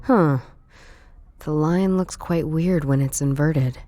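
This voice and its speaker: Noir game lead: woman ex-detective, low register, sharp-witted, wry, understated. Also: takes it slowly, dry recording. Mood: musing, observant. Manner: dry, understated, sardonic. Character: sharp-witted female ex-detective, dry, guarded, deadpan. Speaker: video game protagonist. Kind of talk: scripted visual novel voice acting.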